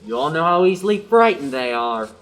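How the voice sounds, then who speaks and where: A student actor playing a loud, bossy castle guard captain in a radio play. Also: medium pitch, expressive, a little fast, close to an everyday voice. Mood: mock-stern. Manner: bellowing, bossy.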